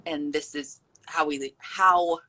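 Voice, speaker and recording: A woman's voice: deep voice, fairly even intonation, takes it slowly, bright, forceful tone. A young adult woman hosting a casual interview, affirming and asking probing questions. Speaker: podcast host.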